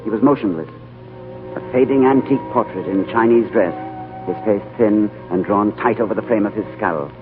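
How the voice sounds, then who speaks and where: A man playing a composed, thoughtful gentleman in a 1940s radio drama, urbane and reflective. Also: on the low side, moderate pace, lightly acted. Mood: hushed, reflective. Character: composed, thoughtful gentleman diplomat, narrating his adventure. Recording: old narrow-band radio, music behind.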